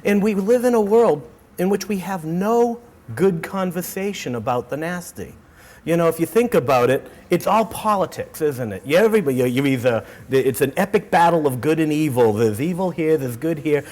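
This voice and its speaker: A middle-aged man with a Boston accent, giving a keynote talk to students in an animated way, often repeating words and restarting sentences. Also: fairly high pitch, normal speed. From Boston, United States. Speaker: keynote speaker. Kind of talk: energetic lecture to students. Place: auditorium.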